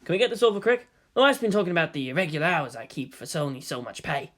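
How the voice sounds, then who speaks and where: A man's voice: fairly high pitch, racing, fairly bright tone. A man voicing an exasperated, wisecracking younger male agent in a story reading, casual and complaining. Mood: exasperated complaint.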